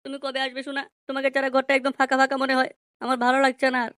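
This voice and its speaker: A woman acts a crude, hard-drinking village husband from a comedy cartoon. The voice is artificially high and races along very fast, coarse and blustering, in a dry sound. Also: completely flat delivery, fairly bright tone, no echo. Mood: lonely, coaxing. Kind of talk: amateur comedy cartoon dubbing.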